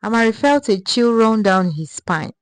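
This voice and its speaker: A woman narrating a scripted folktale in a measured, dramatic storytelling manner. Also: on the high side, expressive, no room sound.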